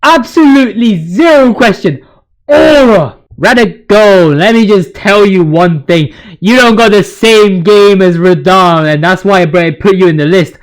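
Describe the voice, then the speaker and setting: High-pitched male voice, video host, casual gaming commentary, hyped slangy rant, emphatic repeats. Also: measured pace.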